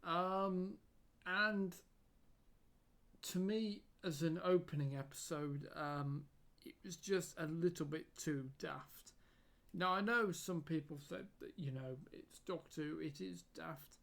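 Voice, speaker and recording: Man, casual reviewer, hoarse from losing his voice, slightly raised pitch, halting delivery full of fillers and repeats. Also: fairly bright tone. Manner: hesitant, many fillers and repeated words. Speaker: YouTube reviewer.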